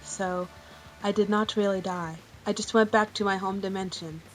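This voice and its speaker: A woman's voice: medium pitch, fairly even intonation, normal speed, faint music behind, voice pushed down, lightly acted, poor audio. A woman voicing a stern, easily irritated female cat character in a fan-made cartoon question show. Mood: composed.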